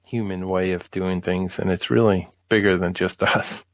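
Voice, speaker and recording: A man's voice: deep voice, steady tone, normal speed, no echo. A man co-hosting a podcast in casual chat, laid-back and agreeable in his informal way of talking. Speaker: podcast co-host. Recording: slightly dull, muffled audio.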